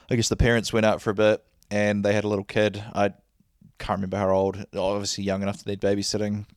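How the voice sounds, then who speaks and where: Laid-back man with a deep bassy voice. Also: dry recording, clean studio sound. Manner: rambling, laid-back, laughs often. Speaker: podcast host. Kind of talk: casual chat. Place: lying back while recording.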